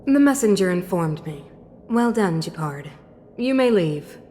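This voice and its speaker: An actress playing a regal, composed supreme ruler in a video game, stately, deliberate and condescending. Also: female voice, fairly low pitch, expressive, warm tone, a little hiss or hum. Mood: cool, commanding. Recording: long hall reverb.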